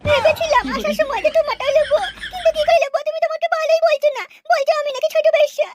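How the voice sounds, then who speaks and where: A woman's voice: very high-pitched, fairly even intonation, rapid-fire. A woman voicing a brash, vain and quarrelsome village woman in a cartoon, insistent and boastful. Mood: boastful, indignant. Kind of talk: cartoon dialogue. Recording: noisy track with clicks.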